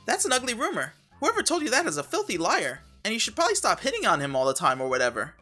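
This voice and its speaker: Quick, very animated young teenage boy, voiced by a woman. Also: mid-range voice, soft background music, full character voice. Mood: defensive. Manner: casual, brisk banter. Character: young teenage boy, goofy, defensive, casual. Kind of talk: comic dub dialogue.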